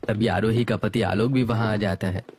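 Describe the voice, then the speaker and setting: A man's voice: lowish voice, steady tone, moderate pace, a little mellow. A man narrating a scripted family story.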